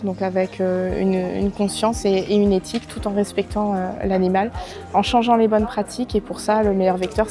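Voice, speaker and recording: A woman acting as a project spokesperson, explaining things to the press hesitantly and correcting herself. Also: mid-range voice.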